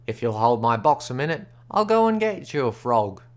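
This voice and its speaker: A man's voice: mid-range voice, sing-song, moderate pace, close to an everyday voice. A man voicing a boastful, easygoing frontier gambler who talks with a folksy drawl and backwoods grammar. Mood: easy, bragging.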